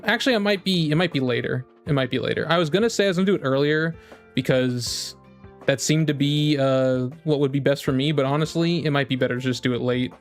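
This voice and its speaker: Male game streamer, medium pitch, loose conversational delivery with repeated phrases, music behind. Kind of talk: casual livestream chat.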